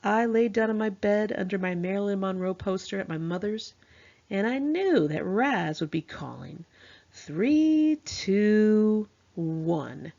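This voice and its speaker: A woman's voice: medium pitch, darkish tone, muffled recording. An American woman reads her own memoir aloud in a conversational, slangy, informal way, acting out the dialogue as she goes. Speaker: author reading own memoir. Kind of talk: informal first-person memoir narration.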